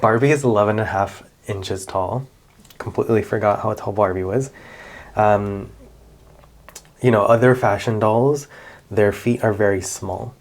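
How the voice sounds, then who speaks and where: A man, chatting casually in a relaxed vlog about his doll collection, with many fillers and self-corrections. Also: on the low side, measured pace, long pauses. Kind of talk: casual show-and-tell vlog.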